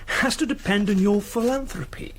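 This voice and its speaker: An actor playing an earnest, argumentative young socialist intellectual, articulate and declamatory, in a period radio drama. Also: male voice, sits high, normal speed, a little hiss or hum, natural voice. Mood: earnest, indignant. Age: young adult.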